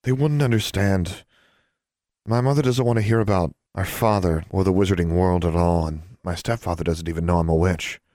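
A man's voice: sits low, moderate pace, dark tone, dry recording, studio quality, close to an everyday voice. A man voicing a stubborn, defensive teenage witch in an audiobook, speaking in a guarded, terse way.

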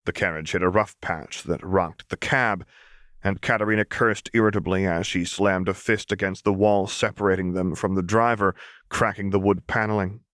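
A man with a fairly low voice narrates a dramatic story. He reads in a scripted audiobook style. The sound is dry and close, with no audible room. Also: steady tone, normal speed, fairly bright tone, no echo.

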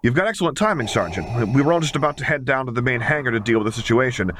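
A young engineer, voiced by a male reader in an audiobook. He talks casually, with sardonic stubbornness and warmth, pitched above the narration. Slight noise lies behind him. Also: lively intonation, racing, lightly acted. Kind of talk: audiobook character voice.

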